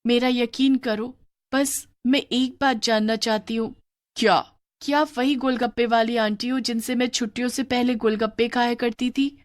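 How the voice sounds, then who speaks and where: A woman voicing a curious, scared young schoolgirl, talking high and excitedly. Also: normal speed, no echo, clean studio sound, natural voice. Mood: pleading.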